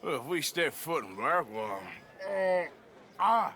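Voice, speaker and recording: An actor playing a gruff, weary American outlaw with a laconic, dry drawl in a video game cutscene. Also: male voice, on the high side, big pitch swings, measured pace, sharp tone, full character voice.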